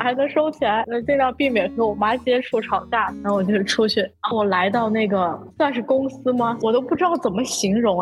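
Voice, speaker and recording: A young woman podcast host casually telling an anecdote in an animated, indignant way. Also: fairly high pitch, lo-fi sound.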